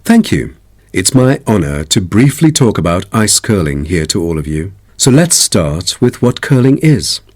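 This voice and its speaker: A man's voice: low-pitched, big pitch swings, measured pace, a little mellow, full character voice. A man playing a polite sports club expert, giving a formal, instructive scripted talk.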